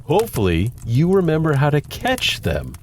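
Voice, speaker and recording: A man's voice: on the high side, huge pitch swings, normal speed, a little hiss or hum. An actor playing a young adult son, playful and a little chiding toward his father. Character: caring grown son, playful and a bit worried.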